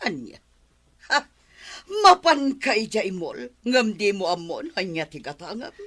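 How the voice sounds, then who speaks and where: A suspicious mother who nags and questions her son, as a woman plays her. Her voice swings widely in pitch. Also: very animated, unhurried, fairly bright tone. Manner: nagging, questioning. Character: suspicious middle-aged mother, nagging.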